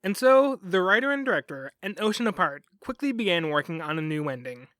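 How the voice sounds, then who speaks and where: A man's voice: high voice, sing-song, brisk, a bit bright, no echo, studio quality. A man hosting a scripted documentary podcast, narrating with wry asides.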